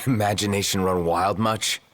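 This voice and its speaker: Curt, low-voiced young prince. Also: male voice, fairly bright tone. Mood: annoyed, dry. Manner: casual, curt. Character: laconic, mildly irritated young prince. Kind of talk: video game cutscene acting.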